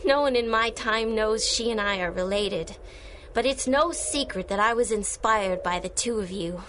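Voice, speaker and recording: A woman's voice: mid-range voice, normal speed, fairly bright tone, slight background noise, close to an everyday voice. A woman playing a confident, playful young time-traveling superhero in a radio drama, earnest with teasing asides. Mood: wistful, teasing.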